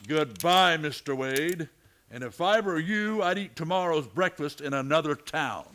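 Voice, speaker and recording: An actor playing a steady, authoritative marshal in a Western radio drama, firm, terse and dry. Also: male voice, a little high, unhurried. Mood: dry, confident.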